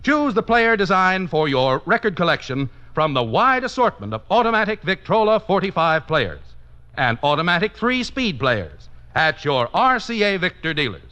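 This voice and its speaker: High-pitched, animated vintage radio announcer man. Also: normal speed. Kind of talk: 1950s scripted radio commercial announcing. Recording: muffled vintage radio broadcast.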